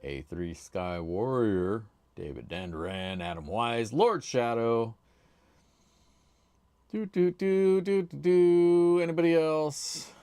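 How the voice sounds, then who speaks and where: A man hosting a casual livestream, chatty and laid-back, with a loud voice, talking into a desk microphone at his home streaming setup. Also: mid-range voice, lively intonation, slow pace, clear, resonant tone, no echo, soft background music. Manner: chatty, laid-back, reads chat names aloud. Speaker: livestream host.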